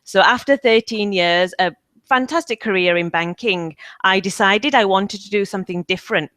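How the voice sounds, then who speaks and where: A woman's voice: medium pitch, normal speed, a bit bright, dry recording. A woman from the UK, an English trainer, answering interview questions in a webinar with many ums and restarts. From United Kingdom.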